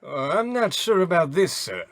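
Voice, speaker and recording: An actor playing a meek, dutiful police lieutenant with a deferential manner in an old-time radio comedy style. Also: male voice, a little high, big pitch swings, normal speed, no room sound. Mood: meek, hesitant.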